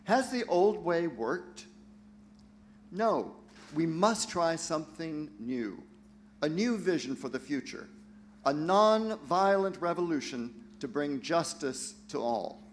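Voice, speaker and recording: Man playing Peter, higher-voiced earnest peacemaking disciple. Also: expressive, slow, a little hiss or hum. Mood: earnest, resolute. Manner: earnest, admonishing. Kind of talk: dramatic reading for a church play.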